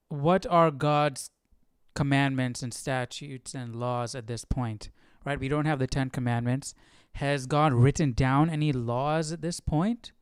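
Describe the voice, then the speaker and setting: Clear, dry-sounding man, casual and curious. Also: fairly high pitch, dry recording. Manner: curious, informal, thinking aloud. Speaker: host of a live Bible study. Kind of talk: casual livestream chat and reading aloud.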